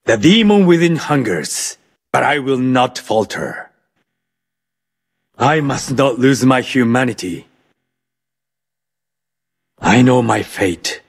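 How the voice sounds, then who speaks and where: Casting: brooding ninja demon hunter for a game, raspy, measured delivery, wide dynamic pitch. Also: male voice, full character voice. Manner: grave, measured, intense. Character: solemn male shinobi exorcist battling his inner demon. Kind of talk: video game character voice lines.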